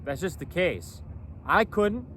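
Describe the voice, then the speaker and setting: A man's voice: sits high, lively intonation, slow pace, fairly bright tone. A man vlogging outdoors for his clothing brand in a loose, rambling way, often tagging his points with "okay?" and "you know". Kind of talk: casual outdoor monologue. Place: outdoors in a city. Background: faint traffic, airplanes and sirens.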